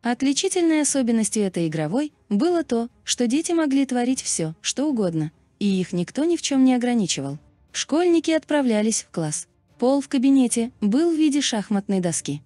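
A woman's voice: on the high side, mellow, dry recording. A woman reading a scripted explainer narration as a narrator. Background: background music.

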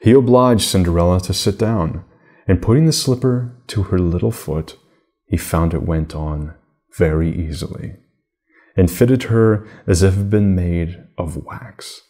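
A man in his thirties reading a fairy tale aloud as storybook narration, in a measured, deliberate way with formal diction. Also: sits low, warm tone.

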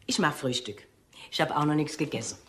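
An actress playing a nosy, excitable, chattering housekeeper in a crime radio drama. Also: female voice, low voice, brisk, very noisy, lightly acted. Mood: gossipy.

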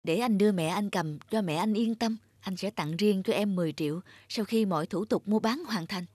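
A woman's voice: medium pitch, a little fast, a little mellow, slightly rough, no room sound, lightly acted. A woman voicing a scheming, persuasive young adult son in a cartoon. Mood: low, conspiratorial. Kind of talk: cartoon dubbing.

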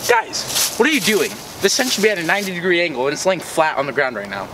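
A man's voice: on the high side, huge pitch swings, recorded from a distance, background noise. A young man playing a clueless male camper in an amateur comedy sketch, rattling off nonsense matter-of-factly outdoors. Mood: deadpan. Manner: rapid, matter-of-fact nonsense. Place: outdoors, open field.

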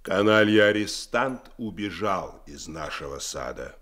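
An actor playing a stern, imperious admiral and father in a radio drama, gruff and commanding. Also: deep voice, animated, takes it slowly, a bit bright, exaggerated voice. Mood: stern, indignant.

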